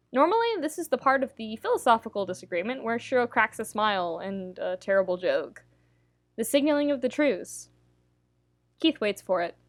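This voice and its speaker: A woman reading a scripted story aloud, with wry, dramatic timing and deliberate pauses. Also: on the high side, no echo. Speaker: podfic narrator reading fan fiction.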